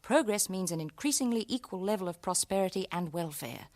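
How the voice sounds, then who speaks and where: A woman's voice: medium pitch, animated, a little fast, darkish tone, close to an everyday voice. A woman playing a stern, earnest critic of those in power in a satirical radio drama, speaking emphatically. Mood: critical.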